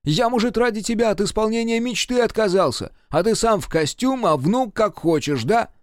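A man's voice: fairly high pitch, animated, a little slow, warm tone, no room sound, clean studio sound, pitched above the performer's own voice. A man voicing a panicky, whiny young grandson who pleads and complains in a comic audiobook. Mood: aggrieved. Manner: pleading, exclamatory, aggrieved.

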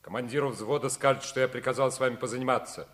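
A man playing an authoritative but good-humored army lieutenant colonel, brisk and paternal, in a 1950s Soviet radio play. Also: mid-range voice, fairly even intonation, fairly bright tone, natural voice. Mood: stern.

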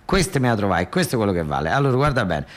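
A male storyteller acts out a cocky southern Italian card-trick hustler from a comic stage monologue. He is slick and repeats his patter over and over. The pitch leaps about in a very animated way. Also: racing. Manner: pattering, repetitive sales spiel.

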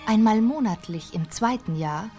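A woman's voice: mid-range voice, lively intonation, moderate pace, mellow, soft background music, close to an everyday voice. A woman narrating a fairy tale for a radio drama, precise and correcting in her scripted delivery.

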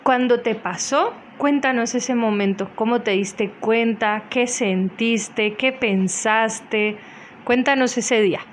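A woman's voice: on the high side, slow, a little hiss or hum. A woman conducting a friendly, conversational radio interview. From Colombia.

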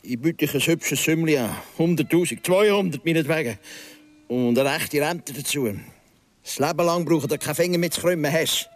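A man's voice: on the high side, normal speed, close to an everyday voice. An actor playing a cornered guilty man in a radio drama, indignant and pleading, with curt protests in Swiss German. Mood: desperate bargaining.

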